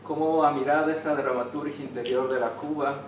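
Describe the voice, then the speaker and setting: A man's voice: mid-range voice, fairly even intonation, brisk. A man speaking from the audience, putting a hesitant, roundabout question at a public talk. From Ecuador. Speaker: audience member asking a question. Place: indoor theater venue during a public discussion. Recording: very echoey room, distant mic, clear noise.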